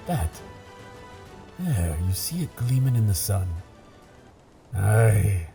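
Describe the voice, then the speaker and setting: A man playing a gruff, curious werewolf pirate captain in an audio roleplay, with a low gravelly voice, a stage pirate accent and slow, musing seafaring talk. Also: big pitch swings, over music, exaggerated voice. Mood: curious, puzzled.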